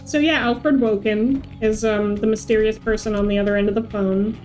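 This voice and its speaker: A woman's voice: mid-range voice, fairly even intonation, moderate pace, darkish tone, faint music behind, rough recording. A woman chatting casually as she streams a video game, speaking into a close mic. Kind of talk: casual livestream chat.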